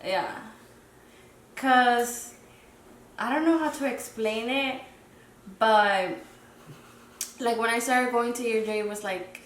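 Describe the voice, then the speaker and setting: Soft-spoken young woman, echoey room. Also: fairly high pitch, slow, pauses often. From Dominican Republic. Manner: hesitant, many fillers, soft-spoken reflective. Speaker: guest. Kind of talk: casual interview chat. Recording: roomy echo, mic at a distance, faint noise.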